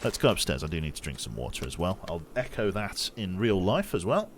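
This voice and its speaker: A man with a very low voice chats through his gameplay, talking himself through each move as he goes. He sounds a little sniffly and congested. A steady noise sits under the recording. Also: fairly quick. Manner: chatty, muttering his actions aloud as he plays. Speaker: streamer. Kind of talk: casual gameplay commentary.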